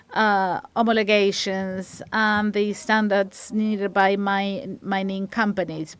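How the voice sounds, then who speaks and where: A woman giving live interpretation of a conference panel, halting now and then with restarts as she keeps up with the speakers. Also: medium pitch, smooth. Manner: halting, with frequent restarts while keeping up. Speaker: simultaneous interpreter.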